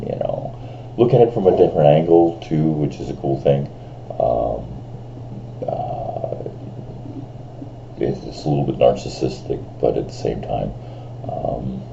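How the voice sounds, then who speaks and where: A man in his fifties talks straight to his camera in a loose, rambling vlog, stumbling over fillers and restarting his sentences. His voice is extremely deep and gravelly, with a big, loud delivery. The recording is dull and lo-fi, with faint noise behind him. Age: 50s. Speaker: vlogger. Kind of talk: rambling casual vlog monologue. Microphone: camera on a screen in front of him.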